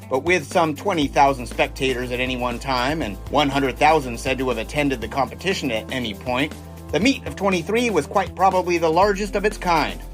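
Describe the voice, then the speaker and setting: An actor playing a confident, folksy 1920s American test pilot in an audio drama, jaunty and boastful, with old-fashioned wordy phrasing. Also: male voice, fairly high pitch, fairly bright tone. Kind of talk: audio drama dialogue and first-person storytelling.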